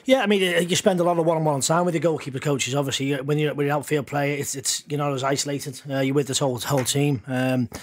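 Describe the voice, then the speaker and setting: A man's voice: a little high, steady tone, fast, few pauses. A man giving his view in a casual football podcast chat, chatty and loose, often repeating words. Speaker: podcast pundit.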